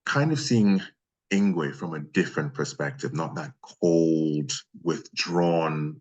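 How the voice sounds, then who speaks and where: Man, low-pitched, slightly rough husky voice, interview guest, conversational, thoughtful halting phrasing. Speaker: voice actor being interviewed.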